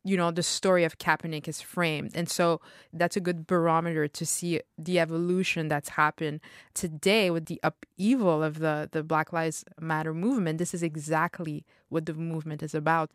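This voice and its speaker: A woman co-hosting a talk podcast with a smooth, resonant voice sitting a little low for a woman. She speaks in an animated, opinionated way and laughs easily, and the sound is close and dry. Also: normal speed, hardly any pauses, no echo. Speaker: podcast co-host. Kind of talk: conversational podcast discussion.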